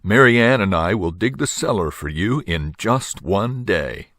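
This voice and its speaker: A man's voice: lowish voice, expressive, a little slow, fairly bright tone, no room sound. A proud, confident steam shovel operator from a children's storybook, played by a man reading aloud. He speaks emphatically and with assurance, in a dry, close-sounding recording. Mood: confident, eager.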